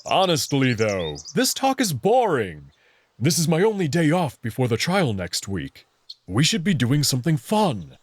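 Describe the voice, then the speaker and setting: A man voicing a proud, confident young alien wolf prince in a visual novel, friendly but full of hubris, speaking with expressive self-assurance. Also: on the high side, unhurried, exaggerated voice. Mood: playful, eager.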